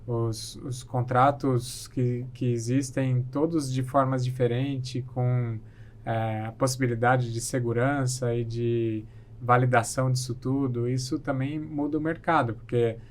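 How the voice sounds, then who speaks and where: A man's voice: mid-range voice, level tone, measured pace. A man talking as a podcast guest, thoughtful and hesitant, often restarting his sentences. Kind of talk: casual chat.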